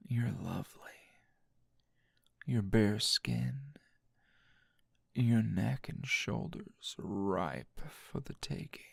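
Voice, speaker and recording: A man's voice: fairly low pitch, gravelly, breathy edge, dry recording, clean studio sound, heavily acted. A man playing a teasing, dominant, seasoned anime hero as a tender lover in an intimate ASMR roleplay, murmuring breathily and slipping into whispers. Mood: tender, sultry.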